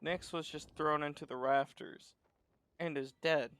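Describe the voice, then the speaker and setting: A man's voice: a little high, fairly even intonation, a little slow, a bit bright, no echo. A man chatting casually as a co-commentator, wry and given to swearing. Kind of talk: casual chat.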